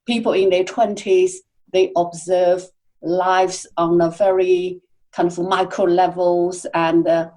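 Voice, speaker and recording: A woman speaking as a podcast guest, answering at length in a halting way with many fillers and restarts. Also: fairly low pitch, little pitch movement, dark tone. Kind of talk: conversational interview answers.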